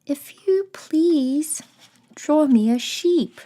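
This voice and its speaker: A woman's voice: high-pitched, expressive, extremely slow, warm tone, pitched above the performer's own voice, heavily acted. A woman voicing an odd little child from a storybook, serious and politely insistent.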